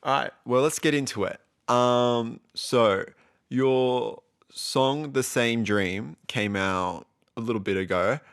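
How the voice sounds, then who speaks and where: A man's voice: medium pitch, takes it slowly, clean tone. A man hosting a music interview in a casual, chatty style. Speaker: podcast host.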